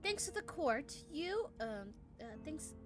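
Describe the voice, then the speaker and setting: A woman's voice: high-pitched, big pitch swings, slow pace, over music. A woman streamer reading game dialogue aloud during a live playthrough, often stumbling over words.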